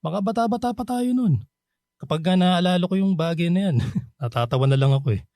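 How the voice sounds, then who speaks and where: A man's voice: sits high, animated, brisk, mellow, no echo, clean studio sound. A Filipino man playing a nostalgic, bashful middle-aged bachelor back home from abroad in a radio drama. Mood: bashful, protesting. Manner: easygoing, chuckling, sheepish.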